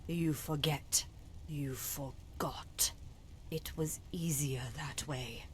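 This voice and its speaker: Woman voicing a solemn, slow-speaking ghostly sister. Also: deep voice, fairly even intonation, slight background noise. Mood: cold, accusing. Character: long-dead sister's spirit, solemn, knowing, finally forgiving. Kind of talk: dramatic video game mod voice acting.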